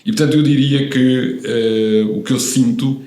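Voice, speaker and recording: A man's voice: mid-range voice, fairly even intonation, measured pace, mellow, echoey room. A man in his fifties, born in Italy, talking thoughtfully as a podcast guest, with frequent hesitations and restarts. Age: 50s. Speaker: guest, real estate professional. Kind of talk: relaxed interview conversation.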